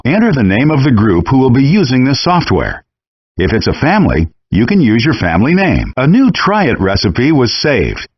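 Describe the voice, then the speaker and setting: A very animated friendly chef guide for a cooking game, with a husky edge to his voice. He gives scripted instructions in an encouraging, clearly worded way. The sound is dry and a bit dull, like compressed handheld game audio. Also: mid-range voice, no echo.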